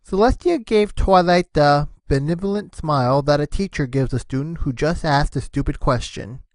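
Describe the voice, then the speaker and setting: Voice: mid-range voice, moderate pace, a little mellow, no room sound. A narrator reading a scripted story between the characters' lines.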